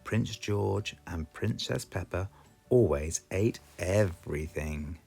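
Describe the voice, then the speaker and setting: A man with a British accent reading a children's storybook aloud in a slow, expressive, sing-song way. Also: sits low, vocal fry, soft background music. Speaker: storybook reader.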